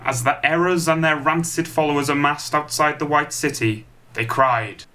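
A man narrating a comic pantomime in a scripted, dramatic storytelling style with deliberate phrasing. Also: on the high side, fairly even intonation, sharp tone.

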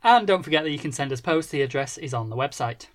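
A man's voice: on the high side, expressive, quick pace. A man on a podcast panel, chatting casually and reading out messages.

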